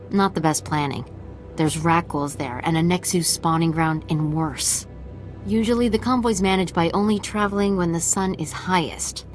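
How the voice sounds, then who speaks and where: An actress playing a principled, no-nonsense military officer in a video game, direct and businesslike. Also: female voice, fairly low pitch, natural voice. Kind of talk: dramatic video game acting.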